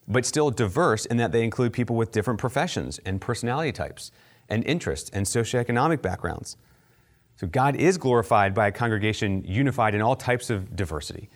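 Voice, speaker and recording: Lower-voiced man teaching in a relaxed way. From United States, near Baltimore. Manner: conversational, with frequent fillers and asides. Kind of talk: teaching talk on faith and culture.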